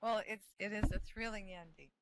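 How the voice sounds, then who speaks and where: A woman's voice: medium pitch, fairly quick, noisy background. A woman chatting casually as she runs a reading group, with lots of fillers and laughs. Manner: hesitant, many fillers, laughs often. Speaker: organizer of an online reading group. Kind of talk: casual meeting chat.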